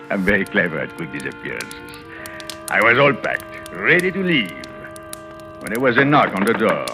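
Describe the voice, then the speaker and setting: An actor playing a smug, slippery swindler with a suave, sardonic, theatrical manner in an old-time radio drama. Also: male voice, deep voice, big pitch swings, normal speed, vocal fry, bright, forceful tone, heavily acted. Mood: smug narrating. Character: smug, slippery male swindler, glib and evasive. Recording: vintage radio recording with faint music.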